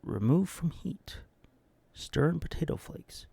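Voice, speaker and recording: A man with a low, gravelly, husky voice hosting a deadpan comedic reading of recipes. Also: unhurried, dry recording. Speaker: host reading recipes and comments.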